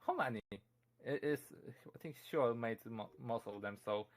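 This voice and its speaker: A man's voice: mid-range voice, unhurried. A young man with a Polish accent giving a casual streamed talk, answering chat questions with many fillers, restarts and frequent chuckles. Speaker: convention presenter.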